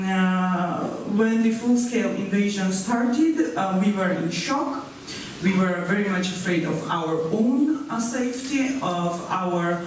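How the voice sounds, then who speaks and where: High-voiced hesitant man, echoey hall. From Georgia. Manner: hesitant, many pauses and filler sounds. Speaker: conference panelist, researcher. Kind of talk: panel discussion talk. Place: conference hall. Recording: echoey hall, distant mic, faint noise.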